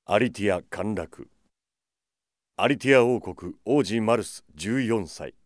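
A man's voice: fairly low pitch, animated, takes it slowly, no room sound, studio quality. A man performing solemn, stately scripted narration for a fantasy audio drama.

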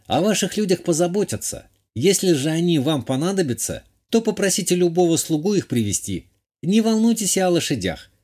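A man acts out a young countess from an audiobook novel, pitched up. She is genteel and coquettish, socially shrewd and poised. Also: big pitch swings, fairly quick, a little mellow. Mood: poised, playful.

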